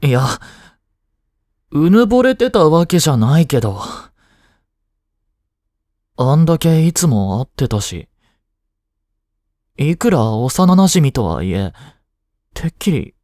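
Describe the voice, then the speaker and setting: A man's voice: a little high, very animated, takes it slowly, pauses often, warm tone, dry recording, studio quality. A young man playing a lovestruck, jealous schoolboy in a romantic voice drama, speaking casually with hesitations and sighs. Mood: frustrated, longing. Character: lovestruck, jealous teenage schoolboy childhood friend. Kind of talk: Japanese romantic voice drama acting.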